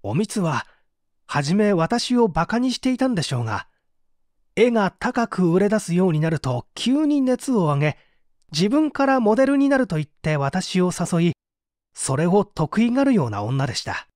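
Man acting an anguished confessing painter, swinging pitch. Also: big pitch swings, moderate pace, a little mellow, dry recording, studio quality. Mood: pleading, intense. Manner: polite, confessional, emotive. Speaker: narrator and reader. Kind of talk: dramatic reading of a mystery story, radio drama.

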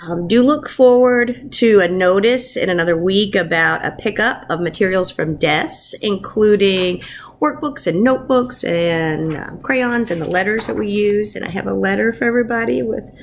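A woman in her thirties, a first grade teacher, talking informally to young pupils and reading aloud in an encouraging, expressive way. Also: medium pitch, normal speed, creaky, slight background noise. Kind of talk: warm informal message to pupils and picture-book read-aloud. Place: wood-ceilinged room at home, indoors. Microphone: webcam at arm's length.

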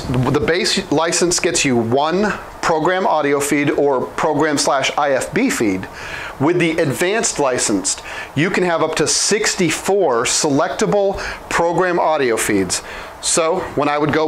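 A man in his thirties explaining software licenses at a whiteboard, talking quickly and informally with frequent restarts and self-corrections, on a lapel mic in a small room. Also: a little high, slight background noise, rough recording. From Oklahoma. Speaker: product trainer.

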